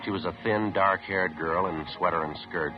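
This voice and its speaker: An American man playing a terse, steady police detective sergeant in a 1950s radio drama, narrating in a deadpan, matter-of-fact way. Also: deep voice, moderate pace, over music, close to an everyday voice. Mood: somber, clipped. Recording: muffled old 1950s radio recording.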